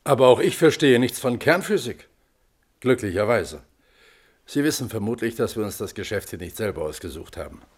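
An actor playing a mild, thoughtful committee member in a German radio play, speaking politely and tentatively. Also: male voice, fairly low pitch, lively intonation, moderate pace, breathy edge.

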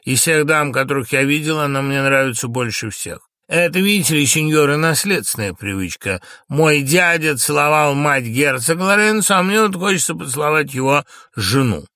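A man's voice: on the high side, animated, moderate pace, hardly any pauses, sharp tone, no echo, clean studio sound, pitched above the performer's own voice. A man playing crude, quarrelsome menservants with a brash, jeering manner in a dramatic play reading. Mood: jeering, lewd.

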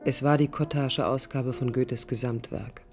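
An actress playing a disillusioned young divorced woman, recounting her past in a hushed, reflective, confiding radio play monologue. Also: very deep voice, fairly even intonation, normal speed. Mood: wistful, hushed. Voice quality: husky, breathy. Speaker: narrator and protagonist. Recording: music under studio radio drama. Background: music.

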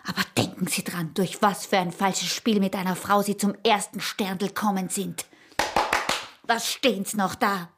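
Radio drama role: actress as a seductive, self-assured smuggler's wife, Austrian accent, taunting and commanding, medium pitch. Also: female voice, fairly even intonation, measured pace, heavy background noise, close to an everyday voice. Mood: scornful, defiant.

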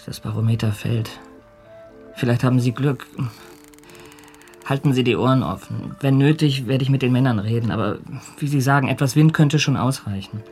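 An actor playing a thoughtful, quietly authoritative ship's captain in a radio drama, speaking in a measured way. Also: male voice, medium pitch, faint music behind, lightly acted. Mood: calm, firm. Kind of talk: German radio drama acting.

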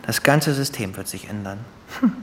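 Gloating crime boss, wildly swinging voice. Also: male voice, on the low side, animated, fairly bright tone, a little hiss or hum. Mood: gloating, musing. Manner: theatrical, mocking, drawn-out musing with little chuckles. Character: sadistic male crime boss gloating over his dying victim. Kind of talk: radio play acting.

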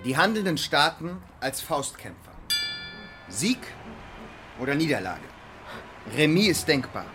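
A man's voice: fairly high pitch, sing-song, measured pace. A man playing an eager, wry history explainer in a radio play, telling it with dramatic build-up. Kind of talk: radio play acting, narrated dialogue. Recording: music behind the voice.

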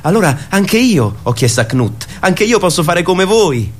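An actor playing a dreamy, earnest young man in a radio play, telling his story with hushed pauses. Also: high voice, very animated, a little hiss or hum. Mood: wondering, earnest. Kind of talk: radio theatre acting.

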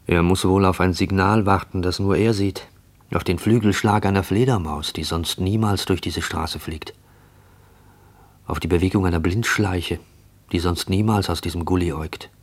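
An actor playing a haunted, watchful narrator in a literary radio play monologue, measured and brooding. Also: male voice, sits low, steady tone.

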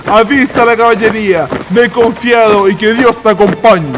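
Radio play war leader, played by a man: commanding and bold, high-pitched, over prominent music. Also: moderate pace, natural voice, rough recording. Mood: commanding, grave. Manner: commanding, dramatic. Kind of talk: historical radio drama acting. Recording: loud music behind.

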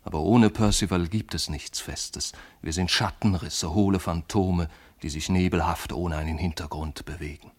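An actor playing an anxious, yearning man in a literary radio drama, speaking in measured, poetic monologue. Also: sits low, fairly even intonation. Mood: wistful. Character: anxious yearning man awaiting his beloved friend.

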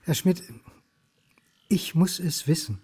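A man's voice: on the high side, takes it slowly, darkish tone, husky, heavily acted. An actor playing a brooding, indignant historian in a radio crime drama, terse and insistent as he presses for answers.

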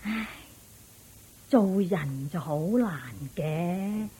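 A woman's voice: fairly low pitch, animated, drawn-out words, dark tone, breathy edge, heavily acted. An actress playing an anxious, practical widowed mother in a radio drama, sighing and scolding, with a Hong Kong Cantonese accent. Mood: fretful, worried. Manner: sighing, fretful, scolding at interruptions. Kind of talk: Buddhist radio drama acting.

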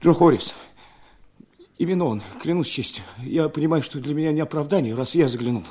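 A man's voice: a little high, fairly quick, darkish tone, slightly rough. An actor playing a shifty, deferential, weak young man in a 1970s Soviet radio drama. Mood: evasive, nervous. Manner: evasive, deferential. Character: shifty, weak, eager-to-please young man, dutiful son.

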